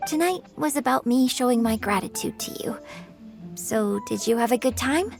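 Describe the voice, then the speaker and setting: A woman voicing a graceful, composed young opera singer in a video game, with measured, polite, gently wistful speech. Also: fairly high pitch, lively intonation, gravelly, soft background music. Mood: earnest, gracious.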